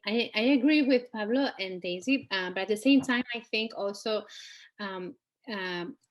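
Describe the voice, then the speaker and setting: A woman's voice: medium pitch, moderate pace. A woman from Spain, speaking as a local journalist on a video-call panel, hesitant and digressive with many fillers. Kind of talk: virtual panel discussion.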